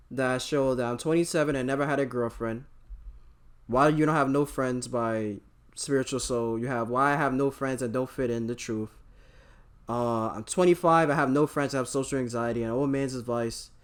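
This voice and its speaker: A man hosting a reaction video, teasing and joking in rapid-fire banter. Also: mid-range voice. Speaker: reaction host.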